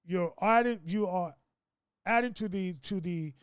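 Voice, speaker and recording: A man's voice: high-pitched, measured pace, smooth, no echo, studio quality. A man in his fifties hosting a casual group discussion, preachy and rambling, with many restarts. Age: 50s.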